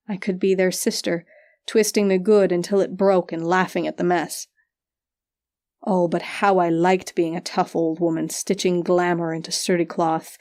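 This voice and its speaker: Mellow woman narrating fantasy fiction. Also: lowish voice. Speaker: audiobook narrator. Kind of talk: literary first-person fantasy narration.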